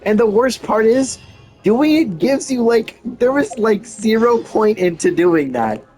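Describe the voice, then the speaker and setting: Gaming streamer, male, slightly high-pitched, informal rambling commentary, faint game music behind. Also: expressive, measured pace, darkish tone, low-quality recording. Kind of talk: casual gameplay commentary.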